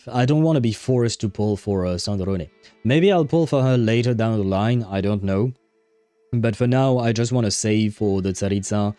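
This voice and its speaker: A man's voice: on the low side, warm tone, no echo. A man chatting casually on a gaming livestream, rambling and thinking aloud as he goes. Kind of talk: casual livestream chat about a game.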